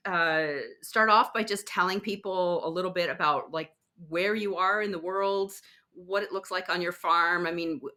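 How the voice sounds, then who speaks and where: A woman's voice: lowish voice, pushed and bright. A woman hosting a podcast interview, friendly and hesitant, with many fillers. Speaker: podcast host.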